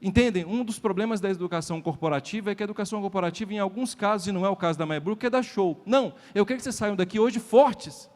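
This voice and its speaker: Emphatic high-voiced Brazilian man lecturing. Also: fast, hardly any pauses, darkish tone. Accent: Brazilian Portuguese. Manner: emphatic, repeats key lines, rhetorical questions to the audience. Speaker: lecturer at a sales event. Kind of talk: motivational lecture. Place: event hall before a live audience. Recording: reverberant hall sound.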